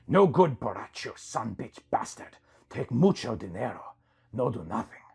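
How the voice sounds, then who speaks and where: Man doing a husky, shady lizard-man hustler. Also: medium pitch, sing-song, moderate pace, a little mellow, full character voice. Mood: scornful. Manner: clipped broken English mixed with Spanish slang. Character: shady male lizard-man street hustler, pushy and scornful.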